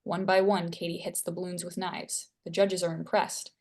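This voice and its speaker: A woman with a slightly low voice narrates a screenplay. She reads out scene headings and action as the stage directions reader at a table read. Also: little pitch movement, normal speed, darkish tone.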